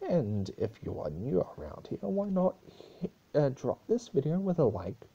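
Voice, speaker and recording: A man reading a story aloud as a dramatic amateur narrator, halting now and then over the text. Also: fairly high pitch, sing-song, breathy edge, muffled recording.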